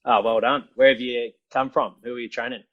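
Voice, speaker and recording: A man's voice: mid-range voice, little pitch movement, a little fast, dull audio. A man hosting a podcast, reading an introduction with a few stumbles and chatting casually with his guest over a video call. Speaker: podcast host.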